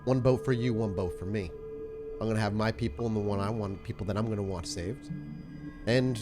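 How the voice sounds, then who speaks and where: A man voicing a shrewd, suspicious female vampire gang leader who bargains frankly and haltingly in a tabletop game. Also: fairly low pitch, over music, close to an everyday voice. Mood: wary, bargaining.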